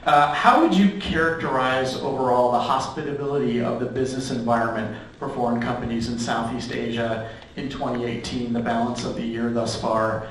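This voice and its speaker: A man's voice: medium pitch, fairly even intonation, fairly quick, a bit bright, slight background noise. A man moderating a conference panel who politely frames long, careful questions. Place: conference stage in a large hall. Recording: echoey hall, distant mic.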